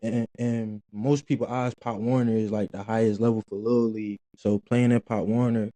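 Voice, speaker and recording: A young man, his voice very dark and mellow. He talks in a loose, rambling way with slang and many restarts, recorded close and dry. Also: on the low side, level tone, moderate pace, no echo. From Philadelphia, Pennsylvania. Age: 20s. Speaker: guest, former college football player. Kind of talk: casual interview talk.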